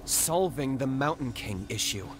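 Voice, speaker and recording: An actor playing a cool, pragmatic young warrior in a video game, terse, composed and matter-of-fact. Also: male voice, medium pitch, animated, measured pace, noisy background, close to an everyday voice. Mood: calm resolve. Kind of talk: video game character acting. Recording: loud game music behind.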